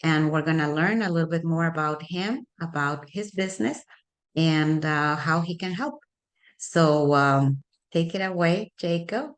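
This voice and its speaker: A woman's voice: low voice, a little slow. A woman hosting an interview show, introducing her guest and asking questions in a friendly, chatty way with frequent uhs and ums. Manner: friendly, chatty, hesitant fillers. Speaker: podcast host, real estate broker.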